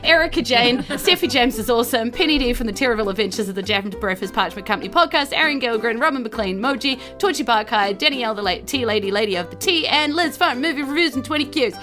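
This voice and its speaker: A New Zealand woman hosts a podcast in a casual, chatty way. Her voice sits fairly high and has a very bright, forward edge. Faint music plays behind her as she talks to her listeners. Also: animated, quick pace, hardly any pauses. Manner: chatty, informal. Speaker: podcast host. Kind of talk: casual podcast announcements, thanking supporters.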